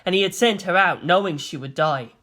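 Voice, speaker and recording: A storyteller reading dramatic scripted fiction in a solemn, expressive way. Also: mid-range voice, a little fast. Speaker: fan fiction narrator.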